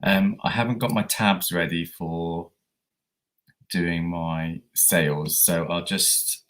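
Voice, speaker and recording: A man with a croaky voice from a coming cold, chatting casually with many fillers as he hosts a reselling livestream. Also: low voice, fairly even intonation, slow, a bit bright. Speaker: eBay reseller livestream host.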